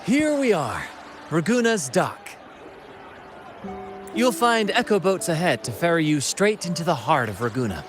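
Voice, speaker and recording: A flamboyant, cheerful showman from a video game. The voice is a little high and swings expressively, with grand theatrical flourishes. Music runs behind the words. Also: big pitch swings, measured pace, pauses often. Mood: grand, showy. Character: flamboyant, cheerful showman leading a sailing troupe. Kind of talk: video game character acting.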